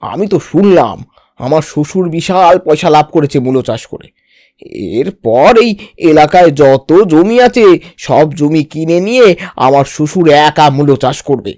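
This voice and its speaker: Man acting sly, higher-voiced village son-in-law. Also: expressive, normal speed, darkish tone, no echo, studio quality, close to an everyday voice. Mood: slyly insinuating. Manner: ingratiating, stammering with repeated words. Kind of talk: exaggerated comic cartoon dialogue.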